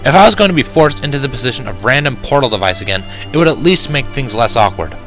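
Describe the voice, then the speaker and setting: A man narrating a first-person fan fiction story in an expressive, wry, dramatic manner. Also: medium pitch, quick pace, faint music behind. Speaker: audiobook narrator.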